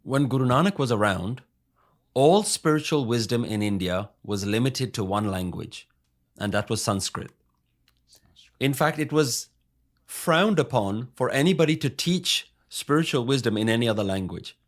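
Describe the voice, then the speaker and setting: A man's voice: medium pitch, expressive, normal speed. Earnest man giving a spiritual talk. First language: English. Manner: emphatic, asks rhetorical questions. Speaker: spiritual speaker.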